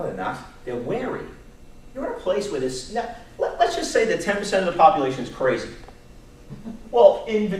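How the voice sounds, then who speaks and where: Guy with a brooklyn accent doing an informal talk, kinda high voice, super echoey room. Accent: New York, Brooklyn. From Long Island, New York. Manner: animated storytelling, acts out quotes, rhetorical questions, chuckles. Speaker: presenter, job search instructor. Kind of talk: informal talk to a staff meeting. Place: indoor meeting room, recorded from a distance. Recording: echoey room from far off, background noise.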